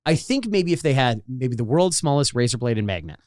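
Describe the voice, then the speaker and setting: Excitable, fast-talking man with swooping pitch. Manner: excitable, acts out joke dialogue. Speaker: podcast co-host. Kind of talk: casual movie review chat.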